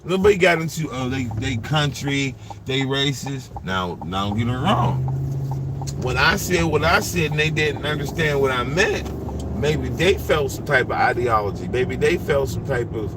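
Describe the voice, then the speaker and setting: A man's voice: medium pitch, moderate pace, noisy background. Man in his forties talking in a car. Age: 40s. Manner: rambling, animated and repetitive, restarts often. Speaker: livestreamer and driver. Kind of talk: casual monologue. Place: inside a parked vehicle.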